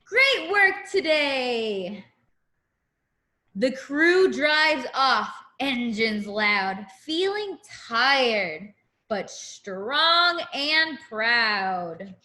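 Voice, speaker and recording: A woman reading a picture book aloud to young children as a teacher, in an expressive, deliberate way with simple explanations. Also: on the high side. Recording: echoey room.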